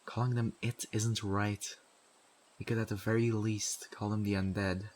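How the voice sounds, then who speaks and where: A stern survivor of the undead apocalypse, acted by a man, with a fairly low male voice. He talks straight at the listener in an earnest, reproving way. His pauses are deliberate and pointed, as in an intimate audio roleplay drama, and he sounds exasperated yet principled. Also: flat delivery, darkish tone, close to an everyday voice. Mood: reproachful.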